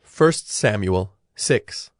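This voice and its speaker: A man narrating scripture from a script in a measured, solemn manner. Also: lowish voice, expressive, faint music behind.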